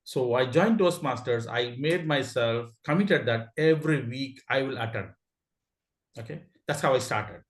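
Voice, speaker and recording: A man with an Indian accent eagerly sharing a story on a video call, laughing and restarting his sentences. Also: mid-range voice. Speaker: club member sharing a story. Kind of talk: casual meeting talk. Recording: echoey room.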